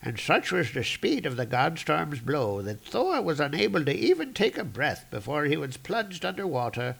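A man reads as an old storyteller of a comic book's godly battles. The telling is grave and literary, with some creak in the voice. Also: on the high side, sing-song, moderate pace, a bit bright, pitched above the performer's own voice, heavily acted, rough recording. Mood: solemn.

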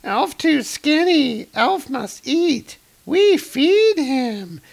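A man voicing a gleeful, menacing little comic-book fairy in drawn-out, childish broken speech. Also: very high voice, sing-song, gravelly, pitched above the performer's own voice, heavily acted. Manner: broken baby-talk, drawn-out words.

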